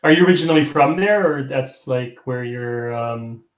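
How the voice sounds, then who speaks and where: A man's voice: medium pitch, normal speed. Rambling male talk-show host, roomy echo. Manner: rambling, lots of restarts and chuckles. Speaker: host of a live online theater talk show. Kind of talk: casual chat. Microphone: video call stream.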